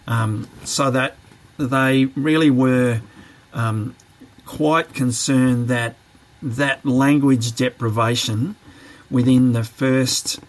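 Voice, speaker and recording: A man's voice: mid-range voice, fairly even intonation, slow pace, low-quality recording. Reflective man thinking aloud. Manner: reflective, hesitant, many fillers and restarts. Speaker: podcast guest, training manager. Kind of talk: thoughtful interview answers.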